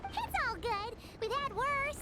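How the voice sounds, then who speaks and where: A woman voicing a tiny, excitable fairy companion in a video game, with a squeaky childlike voice and a chirpy, expressive manner. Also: takes it slowly, full character voice. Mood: casual. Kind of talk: video game character acting.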